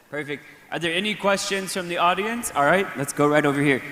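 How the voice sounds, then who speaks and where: Brisk panel moderator man, echoey hall. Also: sits high, a crowd in the background. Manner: quick, probing questions. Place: large conference hall.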